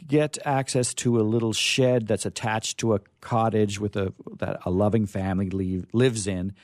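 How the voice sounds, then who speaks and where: Male host, lowish mellow voice, thoughtful and halting, chatting about literature in a dry recording. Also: fairly even intonation, no echo. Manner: hesitant, thoughtful, emphatic repetition. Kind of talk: informal literary discussion.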